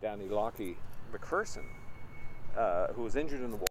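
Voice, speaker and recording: An older man in his eighties from Prince Edward Island, telling family stories as an interview guest in a relaxed, rambling, wry way. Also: fairly low pitch, moderate pace. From Prince Edward Island, Canada. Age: 80s. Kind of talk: casual storytelling conversation. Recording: noisy background.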